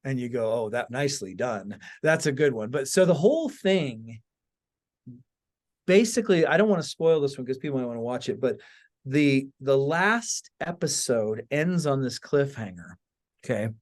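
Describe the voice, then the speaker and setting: A man's voice: medium pitch, expressive, normal speed. A man hosting a podcast in casual conversation, telling stories with emphatic asides and frequent check-ins with his listener. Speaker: podcast host and author. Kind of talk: casual chat.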